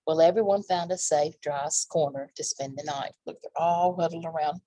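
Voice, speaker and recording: A woman with a husky voice reading a picture book aloud to young children, pausing for simple asides about the pictures. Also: low-pitched, mellow, no room sound, rough recording. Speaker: children's library storytime reader.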